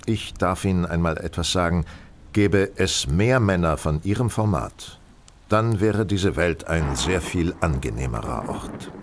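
A man acting in an audio drama as a shrewd, self-assured gentleman detective who speaks formally and precisely, courteous but insistent. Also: deep voice, lively intonation, unhurried, slight background noise. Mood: earnest, approving.

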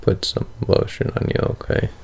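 A man's voice: moderate pace, a little mellow. A man co-hosting a podcast in casual, flirty ASMR chat, teasing and joking, close to the microphone. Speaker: podcast co-host.

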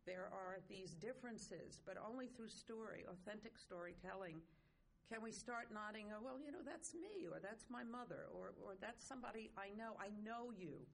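A middle-aged woman speaking on a conference panel, earnest and measured, with frequent fillers. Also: mid-range voice, expressive, vocal fry, slight background noise. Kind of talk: panel discussion.

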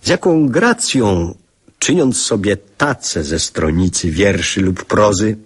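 Wistful dad narrating childhood memories in a radio play, very husky, slow and lyrical. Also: male voice, on the low side, animated, exaggerated voice. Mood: nostalgic, musing. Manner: measured, lyrical, hushed at times. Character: nostalgic man recalling his childhood, a reflective father. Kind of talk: literary radio drama narration.

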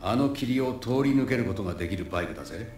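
A man voicing a gruff, world-weary partner in a video game, with blunt, rough, terse speech. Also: lowish voice, expressive, quick pace, noisy background, natural voice. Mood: matter-of-fact. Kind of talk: cinematic video game voice acting. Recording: echoing voice under loud music.

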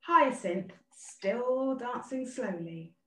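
A woman's voice: a little high, expressive, drawn-out words, slight background noise. Female narrator for a play reading, stage directions, echoey and off-mic on a video call. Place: indoor room, video call. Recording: roomy, distant video-call audio.

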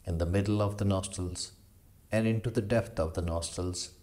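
A man leading a guided meditation as a yoga instructor, giving slow, measured instructions with long pauses. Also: low-pitched, steady tone, a little mellow.

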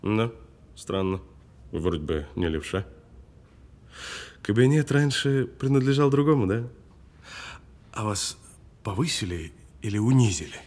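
Audio-film detainee, sardonic and unflappable, husky edge, very varied intonation, deliberate needling. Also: male voice, fairly low pitch, lively intonation, a little mellow. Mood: calm, sardonic. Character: cool, taunting detained male actor bluffing a policeman. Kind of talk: naturalistic audio film drama acting.

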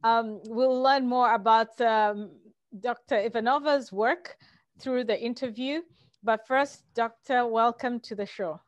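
A woman hosting and producing an interview show, introducing her guest formally over a video call, with some halting fillers. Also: on the high side, steady tone, dry recording. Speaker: host and producer. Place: home room.

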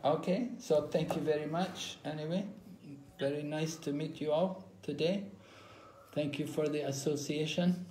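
An older man giving an unhurried online scripture class over a video call from a room at home, explaining with pauses and restarts. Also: a little high, steady tone, dark tone, slight background noise. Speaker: spiritual teacher. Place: room at home with ceiling fan. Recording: echoey room.

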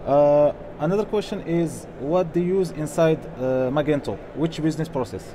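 Moderator, highish male voice, noisy stage. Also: moderate pace. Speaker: session moderator reading audience questions. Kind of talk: reading out questions at a conference. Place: on stage in a large conference hall. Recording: clear background noise, live event.

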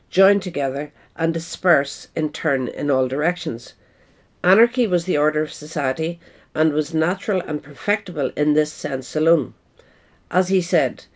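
Woman reading an essay in measured tones. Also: low voice, darkish tone. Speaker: audiobook reader. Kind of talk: scripted narration of an essay.

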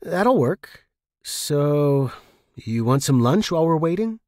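A man's voice: a little high, very animated, takes it slowly, a little mellow, dry recording, clean studio sound. Man playing a guarded, wary loner in space. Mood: feigned calm. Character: wary, fragile loner living on a space station. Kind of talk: audiobook character dialogue.

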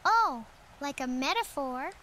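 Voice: high voice, lively intonation, measured pace, bright, forceful tone, exaggerated voice, low-quality recording. A performer playing an earnest, inquisitive young boy in an audio drama. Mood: curious.

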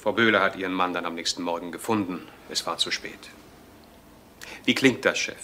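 A man's voice: low-pitched, a little fast, sharp tone, a little hiss or hum. An actor playing a male police assistant who reports in an orderly, matter-of-fact manner in a crime drama. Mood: factual. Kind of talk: old German TV crime drama acting.

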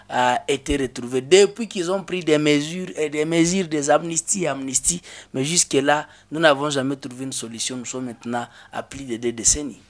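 A man in his thirties with a Congolese French accent, an interview guest in a small studio, speaking earnestly at a desk microphone with many restarts. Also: fairly high pitch. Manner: earnest, with frequent restarts and hesitations. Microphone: desk microphone on a boom arm.

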